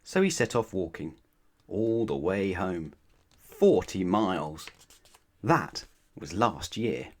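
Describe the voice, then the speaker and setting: A man narrating a children's bedtime storybook aloud in an expressive read-aloud style. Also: low-pitched, slow pace, long pauses.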